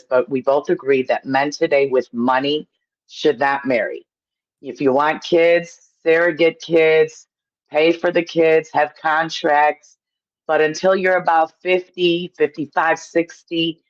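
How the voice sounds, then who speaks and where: A woman's voice: low voice, dull audio. A woman from Poland, chatting casually as an opinionated call-in guest, emphatic and repeating herself to make a point.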